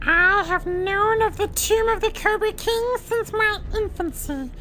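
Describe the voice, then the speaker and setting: Very high, shrill imperious princess. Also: female voice, unhurried, sharp tone, slight background noise, full character voice, poor audio. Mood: commanding. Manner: haughty, theatrical proclamations. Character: imperious, shrieking cobra princess guarding an ancient tomb. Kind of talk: comic adventure radio-play acting.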